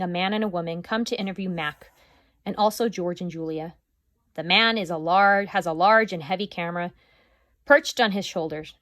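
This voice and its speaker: A woman teacher reading a chapter book aloud to her class, with expressive, lively storytelling. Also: lowish voice, no echo, studio quality.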